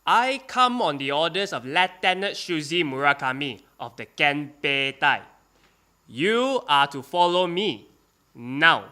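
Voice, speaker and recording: A man's voice: fairly high pitch, very animated, measured pace, pushed and bright, heavily acted. A man acting a wartime military interpreter, speaking in a stiff, formal, curt way. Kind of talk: radio drama acting.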